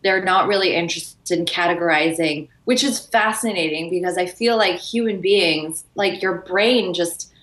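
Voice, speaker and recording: A middle-aged woman speaking earnestly on a podcast panel. Her voice sits slightly low, and the sound comes back off the walls of an echoey room. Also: normal speed, few pauses, a bit bright. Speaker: panel guest. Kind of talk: casual podcast panel talk.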